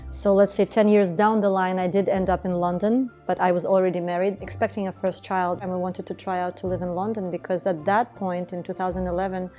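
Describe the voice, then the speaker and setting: A woman's voice: lowish voice, little pitch movement, fairly quick, hardly any pauses, a little mellow, faint music behind. A woman, speaking earnestly and reflectively as a business founder in a documentary interview. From Estonia. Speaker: entrepreneur, beauty business founder.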